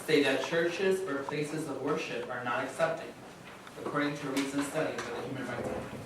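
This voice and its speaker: A man's voice: mid-range voice, fairly quick, close to an everyday voice. A man playing a matter-of-fact narrator, reading scripted statistics in a staged reading. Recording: very echoey hall, distant mic, clear noise.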